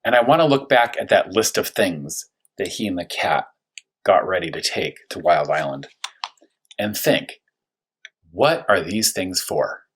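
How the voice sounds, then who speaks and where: A man who teaches first grade, talking through a read-aloud story for young children, thinking aloud with questions and slowing to stress key words. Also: low voice, lively intonation, slightly rough.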